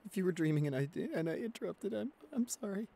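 A man's voice: sits high, lively intonation, quick pace, creaky, warm tone, exaggerated voice. A man voicing a shy, anxious, apologetic nineteen-year-old boyfriend in an ASMR roleplay, stammering and hesitant as he pleads. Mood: apologetic, embarrassed.

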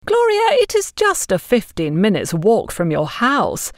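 Lady doing a pushy gossipy woman in an audio story, smug, high voice swooping around. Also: wildly swooping pitch, unhurried, no echo, clean studio sound. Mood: bossy, exasperated. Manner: bossy, effusive, talks down to others. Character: overbearing, gossipy plump woman, smugly condescending.

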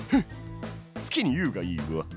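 Give this one to a theatrical, boastful lord. His highish voice takes its time and swings widely, with proud, archaic phrasing, as in an anime-style audio drama. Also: creaky, full character voice. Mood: defiant, proud. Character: flamboyant, proud old-fashioned tea-master lord. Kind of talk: Japanese voice drama acting.

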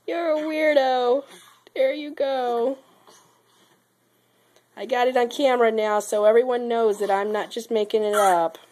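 A woman's voice: fairly high pitch, fairly even intonation, measured pace, pauses often, a little mellow. Sick mother in sing-song baby talk. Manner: sing-song, playful, teasing. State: sick, voice lower than usual. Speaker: mother filming her baby. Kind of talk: home video baby talk. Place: baby's nursery, indoors. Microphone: handheld camera.

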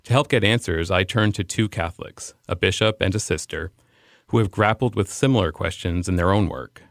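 A man's voice: sits low, fairly quick, no room sound, studio quality. A man with an American accent hosting a podcast, reading scripted narration. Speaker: podcast host.

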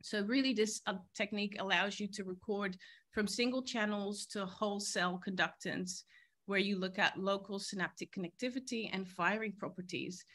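A woman's voice: medium pitch, normal speed, a bit bright. A woman, giving an earnest scientific keynote over a video call from a university boardroom. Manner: earnest, explanatory, with occasional fillers. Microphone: video call, laptop mic.

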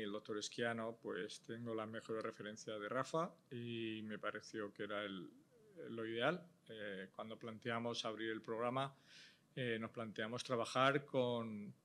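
A man, a transplant surgeon recounting his story at a panel, measured and thoughtful, pausing often on "eh". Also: medium pitch, sharp tone. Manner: measured, thoughtful, with frequent "eh" pauses. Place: indoor panel stage before a logo backdrop. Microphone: table microphone on a stand.

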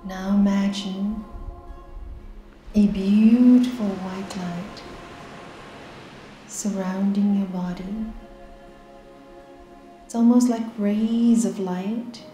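A woman leading a guided meditation, giving slow, soft, calm instructions with long pauses. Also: medium pitch, echoey room, rough recording.

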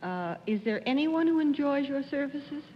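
A woman's voice: high voice, animated, moderate pace, a little hiss or hum. A woman on a quiz-show panel asking probing questions in a television studio. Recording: dull lo-fi 1950s broadcast with hall reverb.

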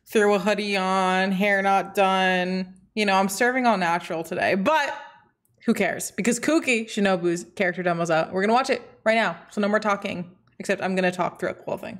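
A young adult woman giving a casual, animated and slangy reaction chat as a game streamer. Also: medium pitch. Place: small indoor streaming room.

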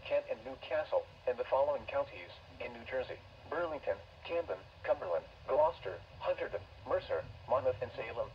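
A computer-generated man's voice reading a weather warning bulletin in an even, mechanical way, heard from a radio speaker. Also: medium pitch. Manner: even, mechanical listing of places and times. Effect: text-to-speech voice. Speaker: automated weather alert announcer. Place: weather radio played on a desk indoors. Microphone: camera recording a radio speaker. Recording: dull radio speaker, faint hiss.

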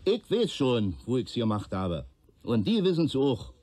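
An actor playing a talkative, self-justifying peddler accused of arson in a radio play, colloquial, rambling and sardonic. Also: male voice, mid-range voice, big pitch swings, unhurried, a little mellow. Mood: aggrieved, confessional.

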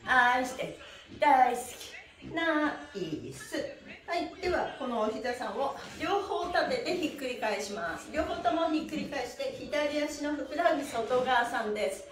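A middle-aged woman with a high, very animated voice leads a stretching routine. She is cheerful and energetic, turning her counts into sing-song chants. She is heard from a little way off in an echoey room. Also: measured pace. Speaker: stretching and exercise instructor. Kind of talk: upbeat follow-along exercise instruction with counted chants. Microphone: camera a few steps away. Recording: roomy echo, distant microphone, music behind.

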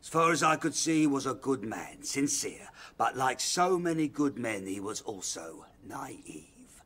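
An actor with an English accent playing a proud, irascible, ailing older landlord in radio drama, brusque and declamatory. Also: male voice, mid-range voice, sing-song, measured pace, fairly bright tone, slightly rough, full character voice. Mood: self-righteous. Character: proud, irascible ailing father, self-righteous slum landlord. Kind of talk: British radio drama acting.

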